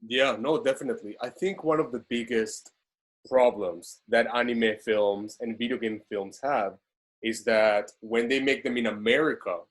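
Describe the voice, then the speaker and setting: A man's voice: mid-range voice, steady tone, moderate pace. A man in his twenties discussing casually on a video call from a small room. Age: 20s. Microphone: computer on a video call.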